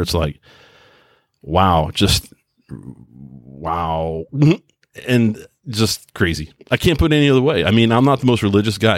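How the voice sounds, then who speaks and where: Casual podcast host, American man with a low voice, folksy and enthusiastic rambler, dry recording. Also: dry recording. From New York, US. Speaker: podcast host and radio hobbyist. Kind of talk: casual solo podcast monologue.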